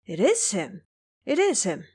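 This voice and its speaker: A woman's voice: mid-range voice, wildly swooping pitch, measured pace, darkish tone, husky, full character voice. A woman voicing a bold, reassuring male mountain guardian with an emphatic, explanatory manner in an audio drama. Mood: excited.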